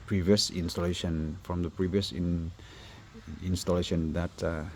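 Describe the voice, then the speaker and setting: A man who is not a native English speaker, presenting a car installation job in an informal, halting walkthrough. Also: low-pitched, level tone, darkish tone, a little hiss or hum. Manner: halting, hesitant phrasing. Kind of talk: informal workshop walkthrough video.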